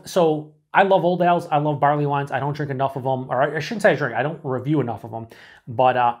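Chatty, enthusiastic middle-aged man reviewing beer. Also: on the high side. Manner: chatty and enthusiastic, swears, drops to breathy whispers while sniffing. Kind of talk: casual solo review to camera. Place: small room indoors.

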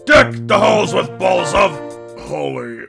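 A man voicing a manic, childish old grandpa, creaky and over-excited, in a cartoon comic dub. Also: high voice, slow, full character voice. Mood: petulant enthusiasm. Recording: music behind the voice.

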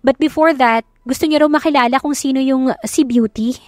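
A woman's voice: sits high, a little fast, dry recording, clean studio sound, voice pushed up, natural voice. A woman voicing a polite, deferential young female secretary in an audio love story. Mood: polite, hesitant.

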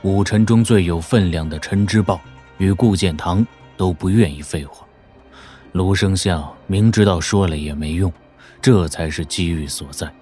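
Very deep, grave swordsman-scholar voiced by an actor. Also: normal speed, mellow, soft background music, close to an everyday voice. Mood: pondering. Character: grave, skeptical male Confucian master swordsman, middle-aged. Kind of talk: Chinese wuxia audio drama acting.

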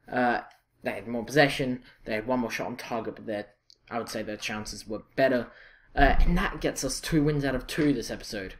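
A young Scottish man giving casual, excitable commentary over a football video game, chatty and full of fillers and self-corrections. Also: mid-range voice. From Scotland. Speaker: gaming channel host.

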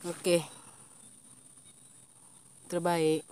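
A man's voice: a little high, very slow, clear, resonant tone. Young Malaysian man narrating his fishing. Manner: excited shouts when a fish strikes. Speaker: fishing vlogger. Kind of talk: casual fishing commentary. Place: outdoors by a swampy plantation stream.

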